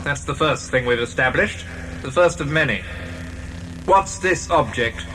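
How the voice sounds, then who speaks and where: An actor playing a smug, menacing interrogator in an audio science fiction drama, mock-polite and taunting. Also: male voice, medium pitch, animated, unhurried, pushed and bright, slight background noise, low-quality recording. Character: smug, menacing male interrogator, trigger-happy official. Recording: music behind the voice.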